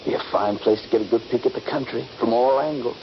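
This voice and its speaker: A man's voice: mid-range voice, fast talker, lightly acted. An actor playing a bold, eager young Union Army soldier in a radio drama, confident and dramatic in his urging. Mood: confident, watchful. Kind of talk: radio drama acting with first-person narration. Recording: dull, noisy 1970s radio recording.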